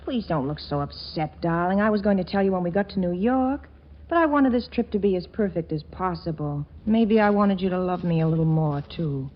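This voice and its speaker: A woman's voice: on the low side, expressive, a little fast. An actress playing a sassy young woman con artist out for revenge in a 1940s radio comedy, on a dull old recording. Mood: soft, pleading.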